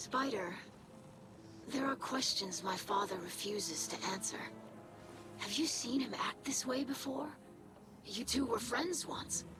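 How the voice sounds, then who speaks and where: A young alien scribe from a video game, played by a woman, proud and stubbornly inquisitive. Her voice is fairly high, with precise, formal phrasing. Loud music runs underneath. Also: unhurried, long pauses, natural voice. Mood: probing. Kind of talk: scripted video game dialogue.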